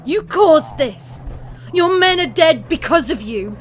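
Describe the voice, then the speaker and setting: Woman to play female survivor, protective older sister, commanding, high voice, audio drama. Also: lively intonation, takes it slowly, heavily acted. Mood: furious, commanding. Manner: commanding, firm. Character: tough, protective big sister among zombie apocalypse survivors.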